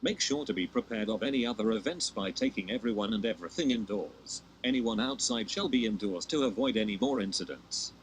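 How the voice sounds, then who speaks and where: A man's voice: fairly low pitch, a little fast, hardly any pauses, noisy background. A man reading scripted emergency broadcast warnings as an announcer, formal and impersonal.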